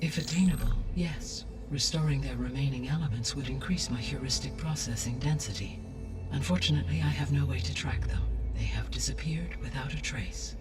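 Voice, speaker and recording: A man's voice: mid-range voice, music in the background, lightly acted. Distant, clinical AI played by a man. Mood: formal, detached. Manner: measured, precise, clinical, formal. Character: calm, formal male artificial intelligence guardian of the biosphere. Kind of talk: scripted game dialogue, exposition.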